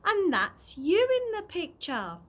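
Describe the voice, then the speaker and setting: A woman's voice: very high voice, wildly swooping pitch, takes it slowly, pitched above the performer's own voice, full character voice. A woman voicing a curious, earnest young girl in a storybook, asking things eagerly and politely. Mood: surprised.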